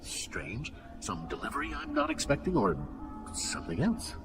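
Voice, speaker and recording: A man's voice: on the high side, normal speed, gravelly, full character voice. A man playing a kindly, cultured mentor in a video game, speaking graciously and formally. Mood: gracious, curious. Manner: gracious, formal, warmly expressive. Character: kindly, cultured male master and mentor of recruits. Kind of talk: video game cutscene acting. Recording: loud music behind the voice.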